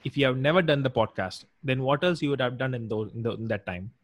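A man's voice: mid-range voice, fast, dry recording. A young man hosting a podcast casually, chatty, with many fillers and frequent affirmations. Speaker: podcast host. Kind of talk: casual interview.

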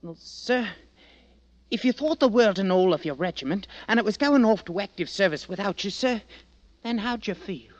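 A man's voice: sits high, animated, normal speed. An actor playing a cocky, eager teenage army drummer boy with a Cockney accent in an old radio drama. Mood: earnest, respectful. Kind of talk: 1940s-50s radio drama acting. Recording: dull old radio recording.

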